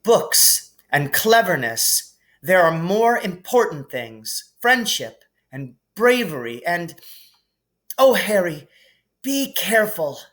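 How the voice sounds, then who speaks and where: A bright, logical young witch from a children's storybook, acted by a man reading aloud. The voice is lifted higher, with an eager, earnest schoolgirl manner and emphatic phrasing. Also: big pitch swings, slow pace, exaggerated voice. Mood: fond, earnest.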